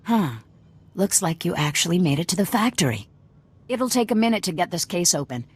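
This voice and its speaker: A very low-pitched female guerrilla commander for a video game. She is blunt and fanatical, giving orders in clipped phrases with an open threat underneath. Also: lively intonation, a little fast, breathy edge. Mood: hard, businesslike. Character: hard-bitten fanatical female rebel cell commander.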